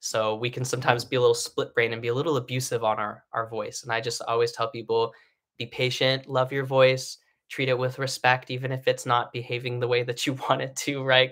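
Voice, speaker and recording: A man's voice: medium pitch, sharp tone. A man in his thirties giving a singing lesson from his living room in a live stream. He talks in a chatty, encouraging way, full of fillers and restarts. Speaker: singing teacher. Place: home living room, indoors.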